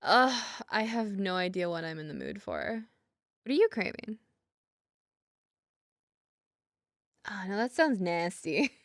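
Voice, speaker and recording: A woman's voice: medium pitch, sing-song, moderate pace, no echo, close to an everyday voice. Woman playing a rambling, self-deprecating girlfriend, close and dry. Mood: casual, caring. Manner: chatty, self-deprecating rambling with frequent giggles. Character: apologetic, rambling gamer girlfriend, young adult, affectionate. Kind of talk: girlfriend ASMR audio roleplay, casual improvised-sounding chat.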